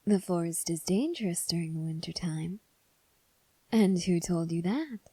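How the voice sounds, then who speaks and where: Woman playing a soft, unhurried, low-voiced vampire woman. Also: lively intonation, dry recording. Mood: knowing, probing. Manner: soft, breathy, measured delivery with deliberate pauses. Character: calm, probing female vampire, protective and slyly teasing. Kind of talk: ASMR roleplay addressed to the listener.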